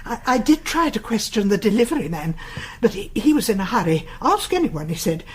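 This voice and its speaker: A woman's voice: mid-range voice, very animated, fairly quick, husky. An actress playing an inquisitive, chatty widow in her seventies in a British radio play, with genteel, probing phrasing. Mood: nosy, probing. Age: 70s. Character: inquisitive, chatty seventy-two-year-old widowed woman neighbour.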